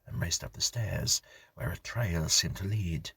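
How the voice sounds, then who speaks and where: A man reading a scripted horror story as narrator, in a measured, grave storytelling manner. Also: deep voice, gravelly, sharp tone, slightly rough, no room sound.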